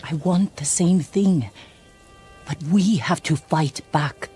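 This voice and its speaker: A woman's voice: low-pitched, takes it slowly, warm tone, breathy edge, faint music behind, exaggerated voice. An actress playing a tough, pragmatic female survivor in a video game, blunt and intense but restrained. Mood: pleading resolve. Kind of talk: dramatic video game acting.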